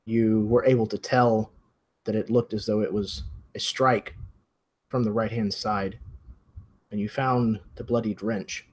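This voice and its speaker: A man working as game master, narrating an improvised mystery in a measured, hesitant way with pauses between phrases. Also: fairly low pitch, fairly even intonation, a little mellow, slightly rough.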